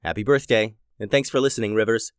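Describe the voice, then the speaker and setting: A man's voice: lowish voice, steady tone, quick pace, smooth, no echo, studio quality. A man hosting and narrating a children's story podcast in a playful, silly manner, his voice a little scratchy.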